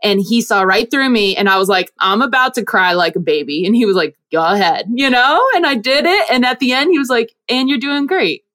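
A woman's voice: fairly quick, hardly any pauses. Bubbly woman from New Jersey. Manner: bubbly, animated, jumps up in pitch when quoting people. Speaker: guest, femtech investor. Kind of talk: podcast interview.

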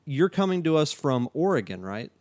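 A man hosting a casual podcast interview, relaxed and chatty, often restarting his sentences. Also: a little high, normal speed. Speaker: podcast host.

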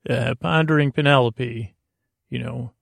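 A man hosting a podcast with a rambling bedtime monologue, whimsical and halting, drifting off on tangents in a gravelly, creaky voice. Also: medium pitch, steady tone, fairly bright tone, no room sound. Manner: meandering, halting, tangent-prone; whimsical, goofy. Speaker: podcast host.

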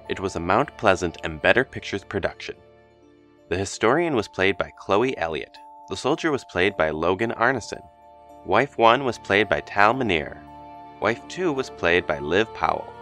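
A man's voice: low voice, fairly bright tone. A man reading scripted production credits as an announcer.

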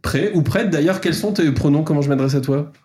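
A man's voice: a little high, brisk, warm tone. A man hosting a podcast interview, playful and teasing, fond of flowery introductions. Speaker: podcast host.

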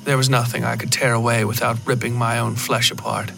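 A man's voice: medium pitch, flat delivery, brisk, gravelly, rough recording. Man narrating horror fiction over music. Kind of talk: scripted first-person horror fiction narration.

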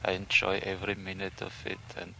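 A man from Austria, a tourist, speaking in a relaxed way in a street interview. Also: sits low, a little fast, vocal fry, slight background noise, low-quality recording.

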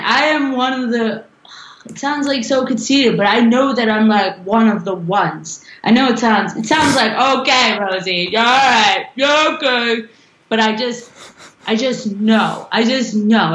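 A woman's voice: fairly high pitch, measured pace, fairly bright tone, reverberant, low-quality recording. A woman guest on a podcast interview, chatting casually in a rambling, giggly way with a strong voice. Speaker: musician guest.

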